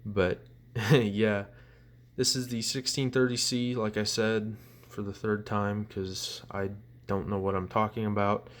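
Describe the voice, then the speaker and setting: A man's voice: fairly low pitch, little pitch movement, unhurried. A young man giving a casual show-and-tell as a collector, rambling along in a blunt, self-deprecating way. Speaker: collector presenting weather radios.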